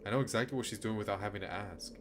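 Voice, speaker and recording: Male streamer, low-pitched, narrating visual novel text, casual commentary, faint background noise. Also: rapid-fire. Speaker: game streamer.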